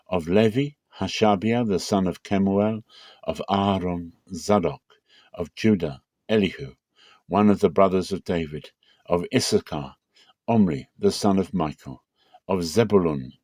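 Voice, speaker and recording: A man with a low voice gives a dramatic reading of scripture. He is measured and unhurried, stretching key phrases. The recording is close and dry, with no room sound. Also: a little mellow, no echo. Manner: measured, slows for emphasis. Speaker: Bible reader.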